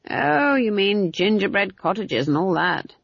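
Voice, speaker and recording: A stern, no-nonsense old witch, acted out by a woman reading a storybook, speaks bluntly and dismissively. The voice is husky and sharply varied in pitch, on a slightly dull recording. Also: sing-song, a little fast, no echo, exaggerated voice. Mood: dismissive, brusque. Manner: brusque, blunt, folksy. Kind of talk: audiobook character voice.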